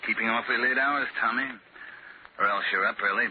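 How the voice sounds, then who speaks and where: An actor playing a suave gang boss in an old-time radio thriller, menacing through smooth, needling politeness. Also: male voice, medium pitch, fairly quick, natural voice. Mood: mock-friendly menace. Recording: tinny, narrow, noisy old radio recording.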